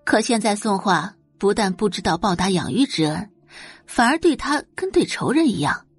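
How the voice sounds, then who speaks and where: A woman's voice: medium pitch, animated, normal speed, breathy edge, no room sound, soft background music. A woman narrating an audio novel in a dramatic, scripted storytelling style.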